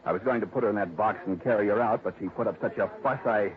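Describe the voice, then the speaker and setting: An actor playing a scheming ad man in a 1950s radio drama, talking slow, cool and menacing. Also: lowish voice, a little hiss or hum, close to an everyday voice. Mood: cool menace. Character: scheming male ad man, smooth and cold. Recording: tinny 1950s radio broadcast.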